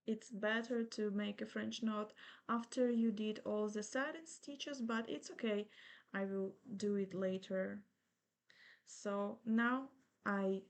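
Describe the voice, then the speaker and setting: A woman from Ukraine in her thirties, speaking English with a Ukrainian accent as she patiently talks through an embroidery tutorial step by step. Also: medium pitch. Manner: slow, patient, gently reassuring instruction. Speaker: embroidery teacher.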